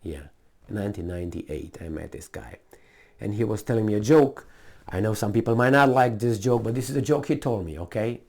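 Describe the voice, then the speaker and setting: Low-voiced Romanian man giving blunt commentary. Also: a little fast, darkish tone. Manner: blunt, emphatic, rambling with asides and repetition. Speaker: political commentator. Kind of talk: casual unscripted news commentary.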